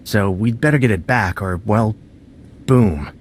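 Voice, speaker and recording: A man's voice: on the low side, a little slow, slight background noise, lightly acted. A man playing an eager, casual squad member in a video game. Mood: casual, wry. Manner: casual, informal.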